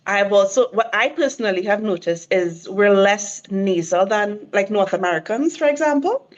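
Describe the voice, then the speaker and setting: A woman's voice: mid-range voice, expressive, normal speed. Trinidadian woman guest, mid-range voice, eager explainer, muffled call audio. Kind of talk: casual conversation.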